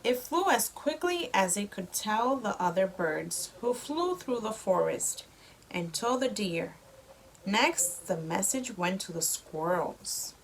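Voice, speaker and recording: A woman reading a storybook to a young child as a mother, with expressive delivery and simple explanations. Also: mid-range voice, slow pace, vocal fry, slight background noise, poor audio.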